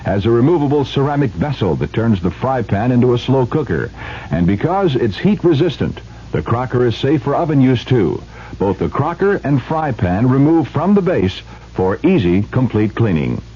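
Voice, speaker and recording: A man's voice: mid-range voice, big pitch swings, moderate pace, mellow. A man reading a scripted 1970s radio commercial with an informative sales delivery. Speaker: commercial spokesman. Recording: muffled, hissy 1970s radio ad.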